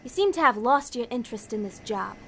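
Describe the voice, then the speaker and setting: A woman's voice: on the high side, expressive, fairly quick, breathy edge. A woman playing a cynical, hard-nosed demon schemer in an audio drama, blunt and insistent in everyday ungrammatical speech.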